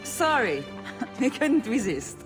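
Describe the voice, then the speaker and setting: A woman's voice: a little high, big pitch swings, takes it slowly, music in the background. A woman playing a spirited, teasing thief in a video game. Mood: playful. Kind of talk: video game cutscene acting.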